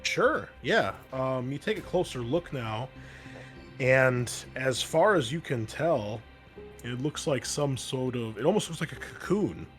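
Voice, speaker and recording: A man's voice: medium pitch, lively intonation, unhurried, soft background music. A man narrating a tabletop roleplaying game as game master in a casual, loose way. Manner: casual, profane.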